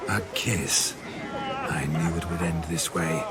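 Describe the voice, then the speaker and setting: A man's voice: sits low, steady tone, slow, a loud crowd. A man voicing the carefree, amorous ghost of a nobleman in a video game, with flowery romantic speech.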